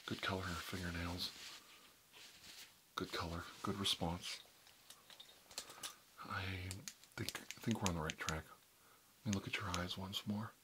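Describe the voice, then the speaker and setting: A man speaking softly and reassuringly, often in a whisper, as a caregiver in a medical roleplay. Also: sits low, steady tone, unhurried, pauses often, noisy background.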